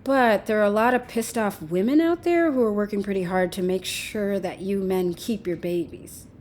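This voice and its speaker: A woman's voice: medium pitch, moderate pace, darkish tone, slight background noise, lightly acted. A woman playing a flippant, unrepentant lover in an audio drama, with a breezy, casual, needling manner. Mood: defensive, earnest. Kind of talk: naturalistic audio drama acting.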